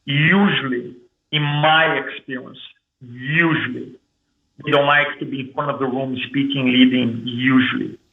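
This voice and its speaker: A man from Brazil with a thick Brazilian Portuguese accent, telling his story as an interview guest in an energetic, restarting way. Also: medium pitch, unhurried, slight background noise. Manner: energetic, repeats and restarts words. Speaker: guest, public speaking coach. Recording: echoey room over phone-like line.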